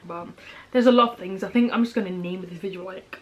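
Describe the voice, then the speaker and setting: A woman's voice: mid-range voice, quick pace, dull audio, a little hiss or hum. A young woman chatting casually while eating, rambling with lots of "um" and "like" fillers. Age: young adult. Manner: rambling, many "um", "like", "kind of" fillers. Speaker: vlogger, older sister.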